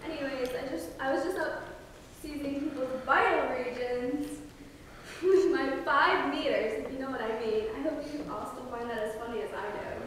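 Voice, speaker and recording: Woman as high-voiced cocky male braggart, echoey hall. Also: moderate pace, a bit bright. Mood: cocky, boastful. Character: Prussia, cocky attention-seeking male braggart. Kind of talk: amateur dramatic reading of comedy fan fiction. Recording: echoey hall from far off, hissy.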